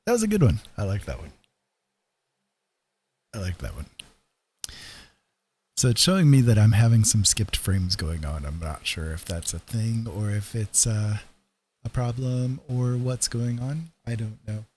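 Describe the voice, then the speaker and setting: This is a low, husky male voice with a dark, mellow tone. He talks casually, as a streamer does while hosting a listening session. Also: lively intonation, unhurried, pauses often. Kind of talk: casual livestream chat.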